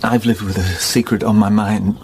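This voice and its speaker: Actor as a grave, guilt-laden gentleman husband. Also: fairly low pitch, flat delivery, slight background noise, close to an everyday voice. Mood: guilty, resolute. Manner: grave, weighty, halting. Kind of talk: radio drama acting.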